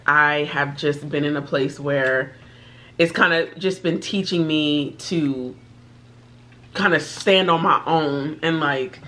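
Low-voiced woman sharing thoughts over a meal. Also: slow, sharp tone. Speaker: guest. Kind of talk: casual heartfelt chat. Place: indoor studio set at a table.

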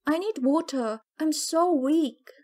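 A woman's voice: sits high, animated, slow, pitched above the performer's own voice. A woman voicing a weak, frightened young girl in an audiobook, meek and pleading. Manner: meek, mumbling, tearful. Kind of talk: audiobook character voice.

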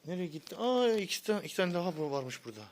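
A man's voice: high-pitched, big pitch swings, quick pace. A man speaks to his young child in casual, coaxing bursts, often repeating a short prompt. It sounds like an amateur outdoor video from a handheld phone, with faint noise behind the voice. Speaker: parent filming a young child. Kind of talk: casual child-directed chat. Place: outdoors near animals.

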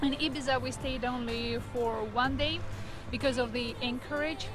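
A young woman, a sailing vlogger, narrating casually and softly from her boat into an action camera held at arm's length. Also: high voice, steady tone, normal speed. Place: sailboat at anchor, on deck and in the cabin. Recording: music playing under the voice.

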